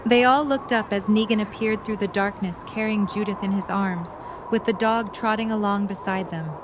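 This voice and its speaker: Woman narrator over clear background noise. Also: medium pitch, steady tone, normal speed. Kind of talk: scripted story narration. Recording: noisy storm ambience behind.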